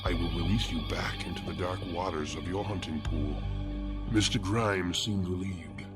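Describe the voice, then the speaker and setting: A deep male actor's voice as a cold, macabre killer telling his own horror story. He is formal, ornate and deliberate, with a cool menace. Loud music runs underneath. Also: natural voice. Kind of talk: scripted first-person horror narration.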